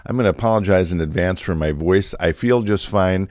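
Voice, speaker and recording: Middle-aged male pastor, low voice with a touch of rasp, conversational and unhurried, dry close-miked sound. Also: a little mellow, dry recording. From Chicago area, USA. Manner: conversational, many fillers and restarts. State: slightly raspy from allergies. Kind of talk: reflective podcast talk.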